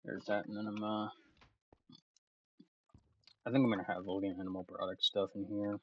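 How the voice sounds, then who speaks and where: A low-voiced man rambles through his game plans, musing aloud and wandering from thought to thought. His voice is husky and a bit worn from talking. The recording sounds slightly muffled and lo-fi. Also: little pitch movement, normal speed. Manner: thinks aloud, meandering and self-talking. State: voice starting to tire. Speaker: let's play gamer. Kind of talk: casual gameplay commentary.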